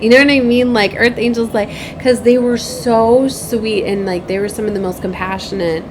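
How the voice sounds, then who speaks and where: A woman's voice: a little high, fairly even intonation, moderate pace, background noise. A woman giving a casual, rambling spiritual vlog, repeating herself, often checking for agreement and acting out bits of remembered dialogue. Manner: rambling, repetitive, frequently seeks agreement with tag questions. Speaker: spiritual advisor and tarot reader, host.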